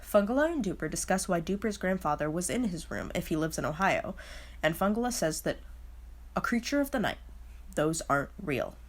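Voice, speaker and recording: A woman with a slightly low voice hosts a video recap. She reads a casual script in a wry way, sounding openly baffled by what she presents. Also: expressive, brisk. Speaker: host of a video explainer.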